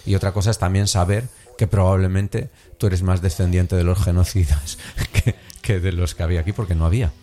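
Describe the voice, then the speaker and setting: A middle-aged man with a Cantabrian Spanish accent, hosting a casual radio chat in a relaxed, slangy way. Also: low voice, a little fast. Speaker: radio host. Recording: music playing under the voice.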